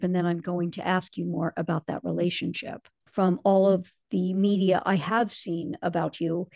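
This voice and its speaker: A woman hosting an interview, asking measured and probing questions. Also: mid-range voice, gravelly, dark tone, no room sound, studio quality. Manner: measured and probing, with many short acknowledgments. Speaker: host. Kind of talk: interview with a guest.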